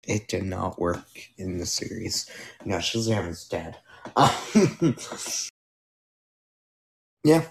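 A man's voice: low voice, very animated, extremely slow, creaky, long pauses. A young man hosting a casual solo podcast, rambling with fillers and self-corrections while losing his voice. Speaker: podcast host. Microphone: new microphone being tested.

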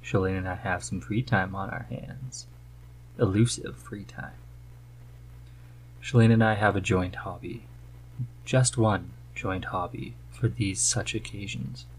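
A man's voice: low voice, measured pace, long pauses, a little hiss or hum, rough recording. Man hosting a casual podcast. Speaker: podcast host. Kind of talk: casual chat.

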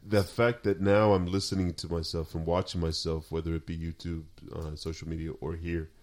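A man from Sinaloa in Mexico, co-hosting a podcast in loud, animated casual chat and laughing often. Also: low-pitched, fairly quick. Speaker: podcast co-host.

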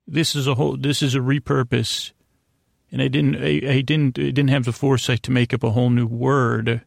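A man hosting a bedtime podcast in a creaky voice, rambling in a gently silly, halting way that meanders and circles back. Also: mid-range voice, level tone, no room sound, studio quality. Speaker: podcast host. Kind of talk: rambling bedtime monologue to aid sleep.

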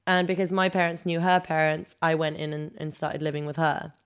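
Smooth-voiced young Englishwoman, rambling. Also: low-pitched, fairly even intonation, fairly quick. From England, London-born, raised partly in Kosovo. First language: Albanian. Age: 20s. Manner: rambling, hesitant, heavy on "like" and "you know". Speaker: guest. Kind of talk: casual chat.

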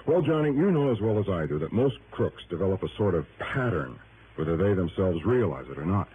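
A man's voice: deep voice, very animated, brisk. An actor playing an earnest, worried, methodical male insurance official in a vintage radio drama, explaining things with emphasis. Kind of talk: 1950s-60s radio drama acting. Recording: tinny, noisy vintage radio recording.

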